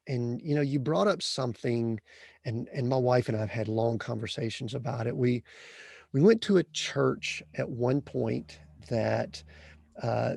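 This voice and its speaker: A man's voice: mid-range voice, creaky, darkish tone, no echo, clean studio sound. A man hosting a podcast interview, asking earnest, rambling questions full of restarts. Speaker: podcast host.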